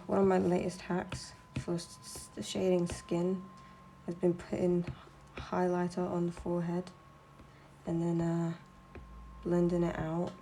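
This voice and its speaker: A woman's voice: fairly low pitch, vocal fry, dark tone, a little hiss or hum, poor audio. A young woman streaming while she draws, chatting casually in a blunt, sweary, ranting way. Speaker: streamer and artist.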